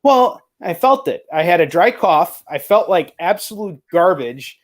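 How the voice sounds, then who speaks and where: A man's voice: high-pitched, big pitch swings, measured pace. A man hosting a casual chat interview, talking bluntly and swearing freely. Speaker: show host.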